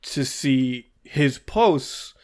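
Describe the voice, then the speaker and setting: A man co-hosting a podcast, adding brief, dry remarks in a measured way during a casual chat. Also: mid-range voice, expressive, a little mellow. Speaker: podcast co-host.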